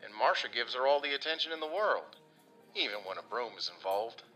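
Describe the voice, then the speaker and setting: An actor playing an earnest, rough-edged working man, folksy, halting and sincere, in an audio drama monologue. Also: mid-range voice, very animated, bright, forceful tone, rough recording. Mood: wry, fond. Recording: music behind the voice.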